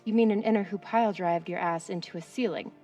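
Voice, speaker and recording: A woman's voice: fairly low pitch, fast, dark tone, soft background music, close to an everyday voice. An actress playing a brash, cocky young woman spacer in a video game, cheeky and playful. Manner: cheeky, foul-mouthed. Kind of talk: video game cutscene acting.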